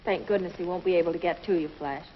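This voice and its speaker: A woman's voice: on the low side, fairly even intonation, fast, slight background noise, close to an everyday voice. An actress playing an earnest, capable young woman in a 1950s TV sci-fi drama, on a dull, roomy lo-fi soundtrack. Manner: earnest, concerned.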